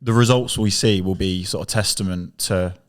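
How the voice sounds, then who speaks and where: A man's voice: deep voice, normal speed. A man in his twenties co-hosting a casual podcast, talking earnestly with frequent restarts. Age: 20s. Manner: earnest, restarts words and backs others with "yeah". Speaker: podcast co-host. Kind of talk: casual chat.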